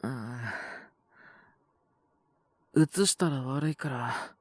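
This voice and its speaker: A man voicing a possessive, teasing young half-human wolf man with a cold, in an intimate romantic audio roleplay, breathy and rough-spoken. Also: mid-range voice, extremely slow, dry recording, clean studio sound. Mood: languid, tender. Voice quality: husky, breathy, often near a whisper. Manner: languid, rough casual speech, teasing. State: sick with a cold, coughing (acted).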